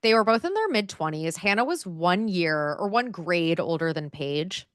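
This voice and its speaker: A woman's voice: lowish voice, animated, moderate pace, fairly bright tone, dry recording, studio quality. A woman in her thirties co-hosting a podcast, chatting casually and reading through research notes with plenty of "um" and "like". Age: 30s. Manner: chatty, filler-heavy, with frequent "um" and "like". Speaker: podcast co-host.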